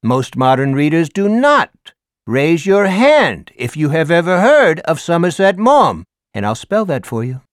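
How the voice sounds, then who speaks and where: Guy reading as a showy old-school english teacher, high and loud, big sweeping delivery, dry sound. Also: big pitch swings, a little slow, dry recording. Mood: declaiming. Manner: grandiloquent, declaiming, emphatic lecturing. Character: theatrical, flamboyant middle-aged male high school English teacher. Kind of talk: audiobook character voice.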